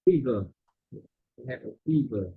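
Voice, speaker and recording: A man's voice: mid-range voice, lively intonation, unhurried, vocal fry, breathy edge, no echo. Hesitant male learner on a tinny line. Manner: hesitant, repeats phrases after the teacher. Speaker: language student. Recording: dry, tinny phone-like sound.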